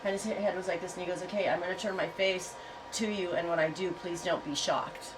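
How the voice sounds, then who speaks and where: A woman's voice: fairly low pitch, fairly even intonation, brisk. A chatty woman telling a rambling story to her viewers, laughing often as she talks. Her nose is stuffed up from a head cold. She sounds a little distant from the mic, with a faint hiss of noise behind her. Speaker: yarn and fiber farm vlogger. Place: home on a farm.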